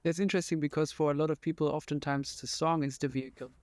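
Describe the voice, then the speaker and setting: Higher-voiced male host, dry sound. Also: fairly even intonation, fast talker, no room sound. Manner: enthusiastic, admiring, talks through his thoughts. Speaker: interview host. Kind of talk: casual conversation.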